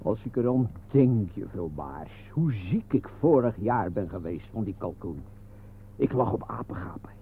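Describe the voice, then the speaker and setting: An actor playing a fussy, self-pitying gluttonous office worker, melodramatic and lamenting, in a radio play. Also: male voice, fairly low pitch, animated, unhurried, a little hiss or hum. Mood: queasy, self-pitying. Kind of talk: absurdist radio play acting. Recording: narrow, phone-like old radio recording.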